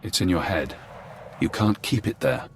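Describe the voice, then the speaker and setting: A man voicing a calm, knowing, menacing former intelligence watcher in a video game cutscene, speaking in measured, cryptic phrases. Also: on the low side, creaky, a little hiss or hum. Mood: ominous, taunting.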